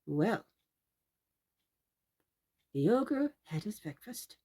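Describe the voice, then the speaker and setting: Slow, very expressive woman storyteller. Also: medium pitch. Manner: deliberate, drawn-out emphasis, addressing young listeners. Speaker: children's storyteller. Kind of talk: expressive read-aloud fairy tale narration.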